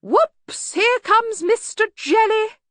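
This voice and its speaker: A woman's voice: very high voice, expressive, slow, fairly bright tone, no echo, clean studio sound, pitched above the performer's own voice, full character voice. A woman voicing a fragile, dotty male university bursar, vague and rambling, in an audiobook. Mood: dotty, wavering. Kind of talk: acted audiobook dialogue.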